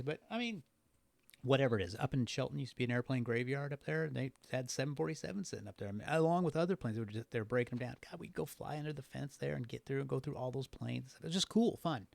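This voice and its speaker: Casting: male podcast guest, mid-pitched, loose and profane conversational storyteller, dry recording. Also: creaky, a little mellow, no echo. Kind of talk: casual, rambling conversational storytelling.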